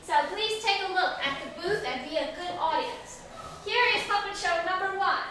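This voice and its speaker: A woman's voice: very high voice, normal speed. A woman teacher instructing children in a classroom, using simple, repetitive phrasing and questions. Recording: very echoey room, distant mic, faint noise.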